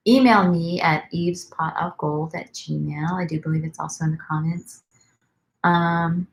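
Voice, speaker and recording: Woman, wellness livestreamer; soft and calming, gravelly fry, meandering delivery full of ums. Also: fairly low pitch, low-quality recording. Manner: soft, rambling, with many ums. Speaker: wellness vlogger. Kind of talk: casual livestream chat.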